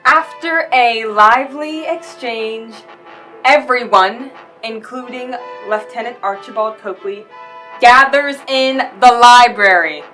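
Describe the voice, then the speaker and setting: A woman announcing an old-time radio mystery in a dramatic, deliberate scripted style. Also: fairly high pitch, bright, forceful tone, faint music behind, rough recording.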